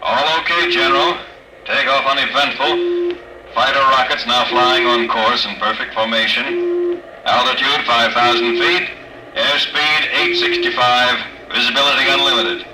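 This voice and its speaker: Distant military pilot over noisy radio. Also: male voice, medium pitch, steady tone, a little slow, reverberant. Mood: businesslike. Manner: clipped radio-procedure delivery. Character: businesslike male military observation pilot. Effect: aircraft radio transmission. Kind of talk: 1950s radio drama acting.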